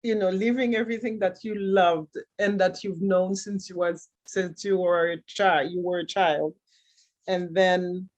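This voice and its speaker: A middle-aged woman from Haiti, a native French speaker, recounts her life story in a slow, measured way. Her voice sits fairly low, and she often laughs softly between thoughts. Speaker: guest. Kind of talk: reflective personal storytelling.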